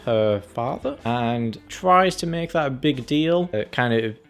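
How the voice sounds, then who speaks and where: Male review host, mid-pitched with vocal fry, casual and chatty, dramatizes reactions, music underneath. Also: expressive, slow. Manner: chatty, acts out reactions and quotes. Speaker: film and game review channel host. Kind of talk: casual trailer review commentary.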